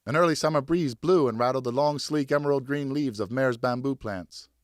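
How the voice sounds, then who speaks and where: A man narrating an audiobook as a dramatic, theatrical storyteller with expressive scripted delivery. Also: mid-range voice, fairly quick, dry recording, studio quality. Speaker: audiobook narrator, professional voice actor.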